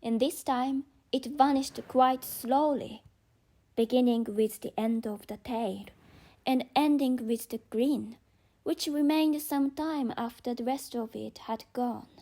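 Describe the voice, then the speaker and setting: A woman's voice: sits high, slow, warm tone. A woman with a non-native English accent narrating a children's audiobook from a script.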